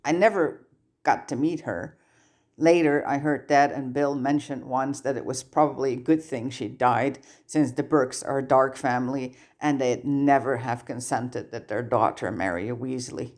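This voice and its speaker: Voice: medium pitch, normal speed, darkish tone, lightly acted. A narrator voicing a casual, matter-of-fact young wizard boy with a slightly wistful streak in an audiobook story.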